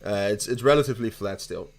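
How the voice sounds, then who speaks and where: A man's voice: mid-range voice, gravelly. A young man with a Dutch accent hosting a casual crypto livestream, rambling with frequent laughs, repeated starts and the odd swear. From Netherlands. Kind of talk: casual livestream chat while trading.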